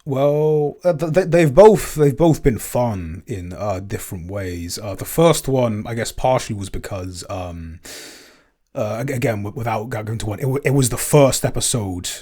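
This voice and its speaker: A man chatting casually as a podcast writer in a Q&A, speaking in a halting, hesitant way with stammered word starts. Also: medium pitch, expressive, warm tone. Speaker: podcast writer and co-creator answering listener questions. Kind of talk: casual Q&A chat.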